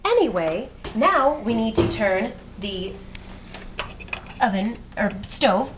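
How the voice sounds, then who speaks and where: Chatty young woman with a lively, high voice. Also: takes it slowly. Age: young adult. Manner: chatty, jokey, self-mocking, moving around while cooking. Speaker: home cooking vlogger. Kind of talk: playful how-to narration. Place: small home kitchen, indoors. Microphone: handheld camera mic, moving. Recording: noisy home camera audio.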